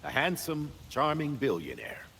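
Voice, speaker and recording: An actor playing an older, dignified uncle in mourning, delivering a solemn eulogy with deliberate pauses in a video game cutscene. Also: male voice, fairly high pitch, wildly swooping pitch, creaky, pushed and bright, full character voice. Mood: solemn, fond. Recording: long hall reverb, faint rain noise.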